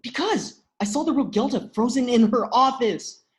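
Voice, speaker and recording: A woman playing an earnest, excitable young human in a comedy table read. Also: on the high side, normal speed, natural voice. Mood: urgent.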